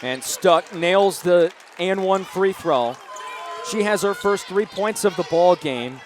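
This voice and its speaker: A man doing live radio play-by-play of a basketball game from an arena, projecting his calls and getting animated on big plays. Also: high-pitched. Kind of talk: live sports commentary. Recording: noisy live arena broadcast, bouncing balls.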